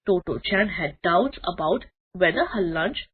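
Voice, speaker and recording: A woman's voice: lowish voice, lively intonation, a little slow, slightly rough, narrow, tinny audio. A woman narrating a scripted children's story expressively, in the manner of a storyteller.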